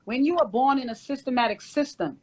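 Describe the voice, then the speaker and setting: Fast-talking insistent woman. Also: high voice, husky. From Jamaica. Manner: insistent, talks over others. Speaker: panelist. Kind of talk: heated panel debate.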